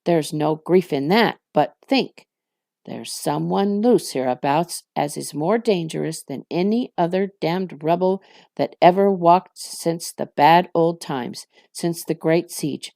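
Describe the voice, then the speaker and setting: Sly orc villain, played by a woman reader, sardonic, needling, gloomy, creaky low voice, fantasy storybook. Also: slow pace, mellow, no room sound, studio quality. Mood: sardonic warning. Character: sly, mocking, gloomy male orc captain.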